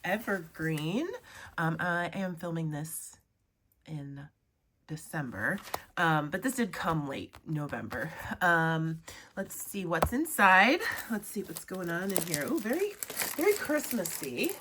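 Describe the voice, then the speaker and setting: A young woman with a slightly low voice talks casually into a close mic at a home desk. She sounds sniffly from a cold. She chats along and gushes with drawn-out "ooh"s, and a faint hiss sits under her voice. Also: expressive. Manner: chatty, gushing, drawn-out "ooh"s and "um"s. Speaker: crafting vlogger. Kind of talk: casual unboxing chat.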